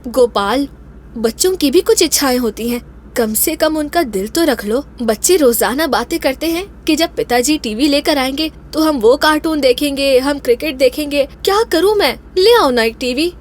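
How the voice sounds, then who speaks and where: A woman playing a gentle, coaxing wife and mother in an animated story. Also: high-pitched, moderate pace, a little hiss or hum.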